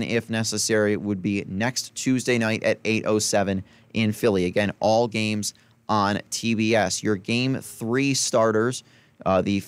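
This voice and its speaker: A young man hosting a college sports podcast, running through playoff schedules and scores in a casual, chatty way with quick asides. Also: fairly low pitch, clear, resonant tone, dry recording. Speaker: podcast host. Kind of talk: solo sports podcast rundown. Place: college radio studio.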